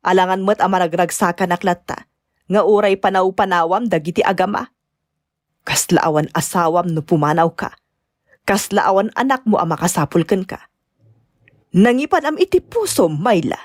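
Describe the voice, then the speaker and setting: A woman's voice: lowish voice, moderate pace, no room sound, studio quality, natural voice. A woman acting a blunt, exasperated older mother and grandmother in an Ilocano-accented radio-style drama, nagging and emphatic. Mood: angry reproach. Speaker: voice actress.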